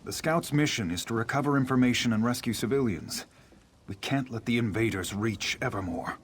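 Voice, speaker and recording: A man's voice: medium pitch, a little fast, natural voice. Guy playing worn out fantasy game commander, grave n formal but determined, bit of rainy noise behind. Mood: grave, worried. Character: beleaguered military commander, weary but resolute. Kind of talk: video game character acting.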